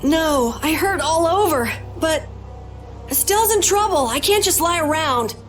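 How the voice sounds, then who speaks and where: Earnest young adventurer, stubborn, high voice, game drama, faint music. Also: expressive, measured pace, fairly bright tone, full character voice. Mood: strained, determined. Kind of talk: video game voice acting.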